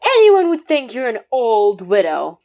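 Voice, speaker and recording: A woman's voice: fairly high pitch, wildly swooping pitch, measured pace, lo-fi sound, slight background noise, heavily acted. A woman with an English accent playing a cheeky, cocky eleven-year-old boy detective in a student radio play.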